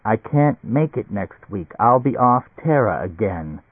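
A man voicing an excitable, fussily reassuring German doctor in an audiobook story, effusive, with broken English sprinkled with German words. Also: lowish voice, measured pace, husky, dry recording, full character voice. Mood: eager, gushing. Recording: tinny band-limited radio-like sound.

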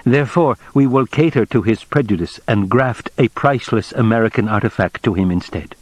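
A man reading a novel aloud, voicing a formal, measured middle-aged Japanese official who speaks with precise, deliberate courtesy. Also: medium pitch, no room sound, voice pushed up, lightly acted.

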